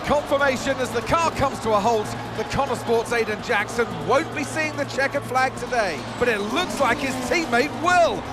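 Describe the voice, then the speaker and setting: A man playing a Formula One commentator, calling a race live in an excitable manner. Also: extremely high pitch, moderate pace, hardly any pauses, loud music behind the voice. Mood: urgent.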